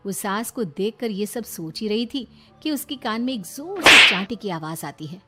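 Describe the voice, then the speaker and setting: A woman's voice: mid-range voice, expressive, moderate pace, heavy background noise. A woman storyteller reading scripted narration for a moral story.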